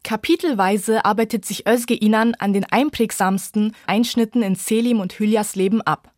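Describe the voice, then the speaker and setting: A woman's voice: medium pitch, normal speed, darkish tone, no room sound, studio quality. A woman reporter reading a scripted radio book review feature.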